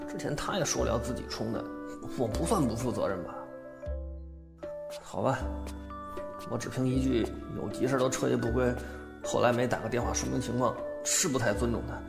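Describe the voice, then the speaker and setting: Radio drama role: flustered, confused young man played by a male actor, creaky voice, music underneath. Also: medium pitch, fairly quick, natural voice. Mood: brooding, self-justifying. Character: flustered, excitable young man confused about his feelings. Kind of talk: Mandarin audio drama acting.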